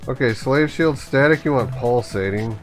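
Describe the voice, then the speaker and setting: A man's voice: mid-range voice, moderate pace. Casual man narrating a setup walkthrough, faint music. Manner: informal, thinking aloud. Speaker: tutorial host. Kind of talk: casual step-by-step software walkthrough.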